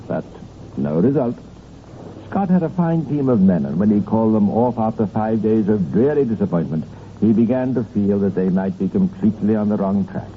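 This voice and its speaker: A man narrating a 1950s radio crime drama in a measured, grave, deliberate storytelling style. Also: lowish voice, expressive, phone-quality sound. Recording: vintage band-limited radio recording, faint noise.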